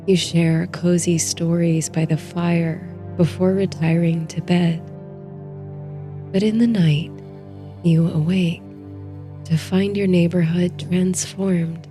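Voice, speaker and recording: Woman, low-pitched mellow voice, soothing hushed bedtime storyteller, faint music behind. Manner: soothing, hushed, unhurried delivery. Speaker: host and narrator of a sleep story series. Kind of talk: guided sleep story narration.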